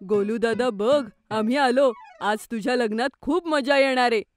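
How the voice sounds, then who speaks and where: Casting: woman playing polite, inquisitive young cartoon boy, high voice, music bed. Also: moderate pace, natural voice. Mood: excited. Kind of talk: cartoon voice acting. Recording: music under the voice.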